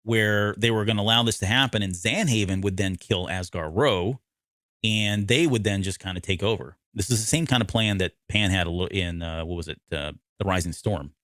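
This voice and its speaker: A man co-hosting a podcast, recapping a story in casual, halting conversation and often correcting himself. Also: lowish voice, a bit bright, dry recording, studio quality. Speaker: podcast co-host. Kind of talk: casual discussion recapping an audio drama.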